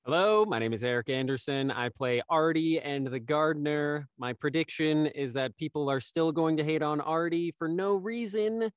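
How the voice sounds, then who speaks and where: A young man gives a casual, measured introduction on a panel. His voice is clear and smooth, a bit high for a man, and recorded dry. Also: no echo. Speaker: voice actor, panel guest.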